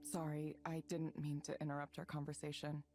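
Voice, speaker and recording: A woman's voice: deep voice, flat delivery, fairly quick. An actress playing a polite, reserved woman in a visual novel, with an understated, sincere way of speaking. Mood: apologetic. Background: music.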